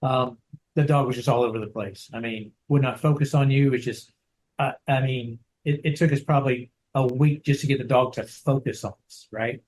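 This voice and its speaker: Soft-spoken dog trainer man, rambling. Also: medium pitch, steady tone, normal speed, poor audio. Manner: soft-spoken, rambling with many restarts and "right?". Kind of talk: casual interview over video call. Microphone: video call.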